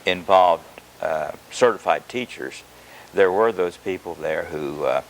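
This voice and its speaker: An older man from Oklahoma, a retired education professor, answering interview questions in a measured, hesitant way with many filler pauses. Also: sits low, expressive, a little hiss or hum, low-quality recording.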